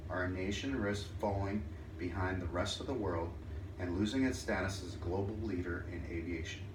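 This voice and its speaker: A low-pitched man presents a formal, persuasive speech, heard from well away from the microphone. He speaks deliberately, breaking sentences into short phrases. The room adds echo, and there is clear noise behind him. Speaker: student or presenter giving a speech. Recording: roomy, distant mic, clear background noise.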